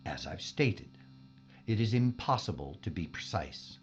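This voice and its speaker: A man acting a stiff, evasive spaceship scientist in a science fiction audio drama, formal, pompous and defensive, with a husky voice. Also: deep voice, very animated, unhurried, muffled recording, a little hiss or hum. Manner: pompous, formal, defensive, stammering when pressed.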